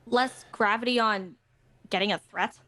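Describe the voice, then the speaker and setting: An actress playing a blunt actor who voices a brisk houseguest in a period play. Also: female voice, mid-range voice, pushed and bright. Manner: blunt, pointed. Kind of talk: audio drama acting.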